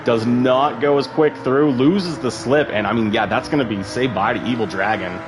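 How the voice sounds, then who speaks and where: A man's voice: medium pitch, normal speed, background noise. A man giving casual, rambling live commentary on an online sim race, full of fillers and asides.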